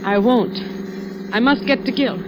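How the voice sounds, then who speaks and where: A woman's voice: fairly high pitch, measured pace, noisy background, lightly acted. A woman playing an anxious, determined wife in a 1940s radio melodrama, speaking earnestly and dramatically. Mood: frightened. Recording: vintage 1940s radio recording.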